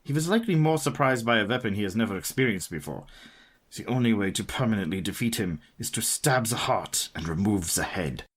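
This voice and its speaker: Male actor as grave professor, radio drama, raspy edge, lively intonation, courtly, earnest. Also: lowish voice, a little fast. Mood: explaining. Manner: formal, earnest, courtly phrasing. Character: devout male professor, vampire hunter, grave and kindly. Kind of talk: radio play dramatic acting.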